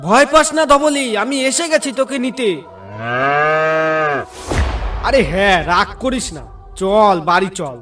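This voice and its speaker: A man's voice: very high voice, expressive, normal speed, pushed and bright, distant mic, exaggerated voice. A man playing a rustic village husband in a horror cartoon, recorded with music behind him. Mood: soothing. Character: rustic village husband fetching his cow, later a ghost. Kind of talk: Bengali horror cartoon voice acting.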